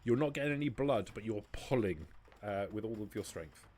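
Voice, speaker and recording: A man's voice: fairly low pitch, lively intonation, fairly quick, darkish tone. A man running a tabletop roleplaying game as game master, patiently explaining rules and narrating scenes.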